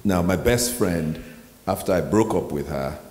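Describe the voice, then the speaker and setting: A man, a pastor, speaking reflectively in an onstage interview and pausing with frequent ums. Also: low voice, a little mellow. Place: large hall. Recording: echoey hall with faint noise.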